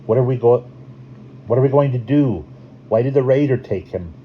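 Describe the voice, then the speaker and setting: A man's voice: mid-range voice, moderate pace, pitched above the performer's own voice, natural voice. Man as a suspicious warrior, raised voice. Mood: impatient. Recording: dull lo-fi recording, faint hiss.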